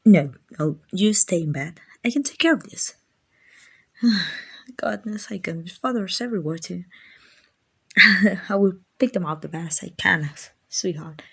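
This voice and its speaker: A gentle guardian angel, acted by a woman for an ASMR comfort roleplay. The voice is breathy and a little low, and the words come slowly. The angel is doting and slightly clumsy, hesitant and apologetic, with plenty of affection. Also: exaggerated voice. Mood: flustered, apologetic.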